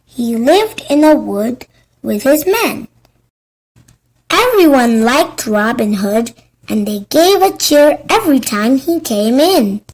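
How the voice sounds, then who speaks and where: High-voiced, slow-paced woman storyteller. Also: big pitch swings, a little mellow, husky. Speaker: storybook narrator. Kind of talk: scripted children's story narration.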